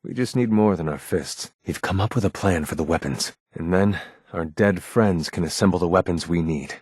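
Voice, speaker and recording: An actor voicing a guarded, earnest male android rebel leader in a video game, with formal, measured, grave speech and a husky voice. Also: sits low, a little mellow, no echo, studio quality. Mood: urgent, guarded. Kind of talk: scripted game character dialogue.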